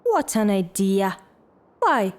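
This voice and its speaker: A woman's voice: mid-range voice, big pitch swings, takes it slowly, no echo. An actress playing a proud, fiery widowed lady in a theatrical audio drama, haughty and declamatory. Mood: taunting.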